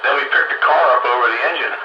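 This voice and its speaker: An older man chatting casually in a halting, reminiscing way over a radio link. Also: mid-range voice. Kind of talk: casual group chat. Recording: muffled, very noisy radio link.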